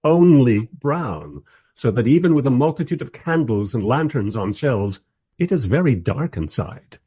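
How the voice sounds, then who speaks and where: An actor playing a bemused, wry filmmaker who narrates and interviews in a scripted radio drama. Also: male voice, medium pitch, sing-song, a little slow, mellow, husky. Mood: wry, narrating.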